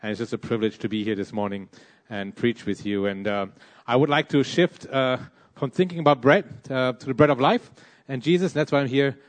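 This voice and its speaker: A man's voice: medium pitch, brisk. Hesitant Malaysian man preaching a sermon. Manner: hesitant, many "uh" and "um" fillers.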